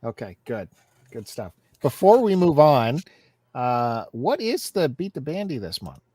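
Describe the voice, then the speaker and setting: A man's voice: medium pitch, sing-song, unhurried, mellow, slightly rough, no echo, studio quality. A man hosting a casual live-stream chat, upbeat and teasing what is coming up on the show. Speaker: host of a collectors' live chat.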